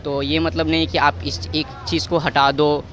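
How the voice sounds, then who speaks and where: A man's voice: a little high, little pitch movement, normal speed, a bit bright, a faint crowd. A young man with a Bihari accent in a street interview, hesitantly groping for words and mixing in English. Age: young adult. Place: outdoor street. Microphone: handheld reporter microphone.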